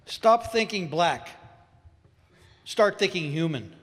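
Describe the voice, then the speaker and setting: A man's voice: sits high, expressive, slow pace, a bit bright. A man reciting short scripted statements, with long hall reverb around his voice. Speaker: reader of short personal statements.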